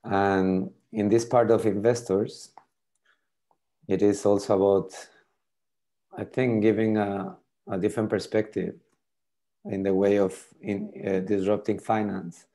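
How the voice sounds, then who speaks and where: A man's voice: on the low side, little pitch movement, unhurried, pauses often, dark tone. A middle-aged man whose first language is French, explaining a mapping tool on a video call, often repeating words as he thinks. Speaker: tool demonstrator. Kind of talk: casual video call demo.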